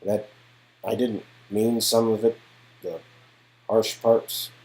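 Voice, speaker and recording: A man acting out a guarded adult man from a game, protective and wry, who opens up in halting, earnest confessions. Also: on the low side, little pitch movement, darkish tone, poor audio. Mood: remorseful, sincere. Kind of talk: dramatic game dialogue performance.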